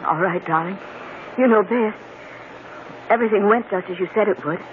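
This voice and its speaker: A woman's voice: mid-range voice, moderate pace, natural voice. An actress playing an anxious, clinging young woman in a vintage radio drama. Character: anxious young female conspirator, clinging, outwardly gracious. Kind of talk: 1940s radio acting. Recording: very noisy, tinny old radio broadcast.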